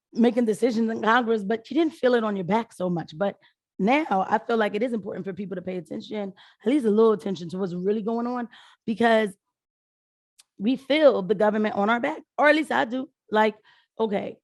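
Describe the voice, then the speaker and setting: American woman, 30s, hoarse, informal political vlogger, rambling casual delivery, very dry close sound. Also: mid-range voice, brisk, a little mellow, no room sound. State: hoarse after being sick. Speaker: political video commentator. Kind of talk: casual talk to viewers.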